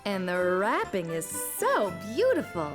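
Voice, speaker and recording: A woman's voice: medium pitch, huge pitch swings, measured pace, over music. A woman playing a tender, appreciative cartoon mother who speaks in an affectionate, gushing way. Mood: delighted, tender. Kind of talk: preschool cartoon acting.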